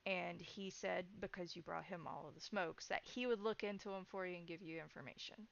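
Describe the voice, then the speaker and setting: A woman's voice: lowish voice, fairly quick, gravelly. A woman acting as game master, narrating a tabletop horror roleplay and explaining things to players. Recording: dull, band-limited sound.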